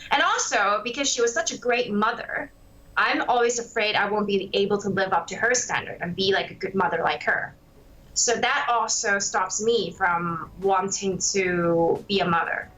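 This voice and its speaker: A woman's voice: medium pitch, moderate pace, fairly bright tone, low-quality recording. A woman in her late twenties, raised in Hong Kong and Canada, talking reflectively in a candid interview with many fillers. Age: 20s.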